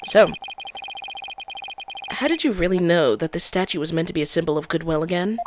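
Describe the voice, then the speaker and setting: A woman's voice: lowish voice, brisk, background noise, voice pushed down, lightly acted. A woman voicing an earnest young male defense attorney who presses a witness. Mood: pressing. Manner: pressing, accusing.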